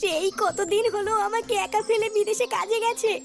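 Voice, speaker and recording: Flirty cartoon housewife played by a voice actor, coy and coaxing, extremely high voice over faint music. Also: steady tone, a little fast. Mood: flirtatious, coaxing. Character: flirtatious, coy married sister-in-law in cartoon. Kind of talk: comic cartoon dialogue.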